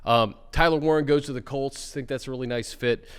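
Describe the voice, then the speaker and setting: Smooth male host in a reverberant room. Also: medium pitch, fairly even intonation, fairly quick. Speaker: show host. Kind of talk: casual sports chat.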